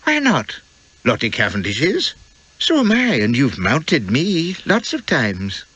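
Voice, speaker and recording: A man voicing a sweet, jealous, scatterbrained young Victorian wife, breathy and pleading as he reads the story. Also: mid-range voice, huge pitch swings, measured pace, pushed and bright, no room sound, voice pushed up, heavily acted. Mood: innocent. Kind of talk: audiobook character voice.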